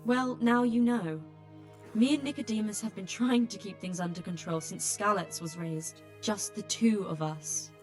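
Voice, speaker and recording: An actress playing a weary, blunt healer who tends refugees, with dry exasperation, in a dramatic video game. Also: female voice, medium pitch, normal speed, soft background music, natural voice. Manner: exasperated, dry, plain-spoken.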